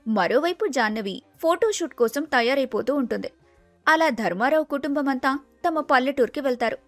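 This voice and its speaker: A woman's voice: sits high, animated, brisk, no echo, faint music behind. A woman storyteller giving scripted narration of a tale.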